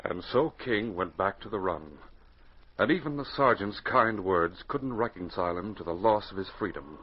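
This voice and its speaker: An actor as a hearty, folksy announcer and narrator in 1940s radio style, addressing young listeners. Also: male voice, on the low side, animated, normal speed, slight background noise, lightly acted. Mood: storytelling. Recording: muffled, lo-fi 1940s radio recording.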